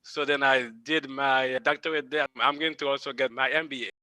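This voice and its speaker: A man's voice: medium pitch, steady tone, moderate pace, pushed and bright, no echo. A man from Haiti introducing himself, stumbling over words with frequent restarts. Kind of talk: self-introduction.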